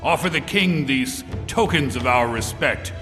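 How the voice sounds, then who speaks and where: A man playing a proud, ambitious emperor of ancient China in an audio drama, speaking gravely and with command. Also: medium pitch, natural voice. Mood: rousing, commanding. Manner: grave, imperious, deliberate. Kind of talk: amateur audio drama acting. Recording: long hall reverb, loud music behind.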